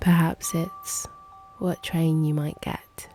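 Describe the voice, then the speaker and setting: Slow, low-voiced woman guiding meditation. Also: flat delivery, dark tone, faint music behind. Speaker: host and meditation guide. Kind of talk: guided meditation, slow and hushed.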